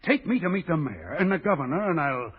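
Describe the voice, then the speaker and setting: An actor playing a streetwise American gang boss with a soft spot, talking insistent slang in old-time radio drama style. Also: male voice, a little high, very animated, brisk, slightly rough. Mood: cagey, persuasive. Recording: muffled vintage radio broadcast.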